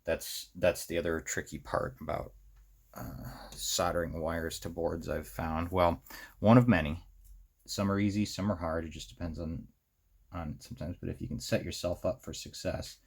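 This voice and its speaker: American male how-to host, low register, unscripted and rambling, half talking to himself as he works. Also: fairly even intonation, creaky, a little mellow, breathy edge. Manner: halting, rambling, talks to himself while working. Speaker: host of a hobby electronics how-to series. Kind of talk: casual unscripted hands-on tutorial, thinking aloud.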